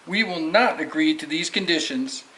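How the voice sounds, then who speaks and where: Slightly high-voiced skeptical campaigner played by a man. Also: lively intonation, normal speed, pushed and bright, low-quality recording. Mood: skeptical, indignant. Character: skeptical, indignant male environmental campaigner. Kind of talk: scripted amateur puppet show dialogue.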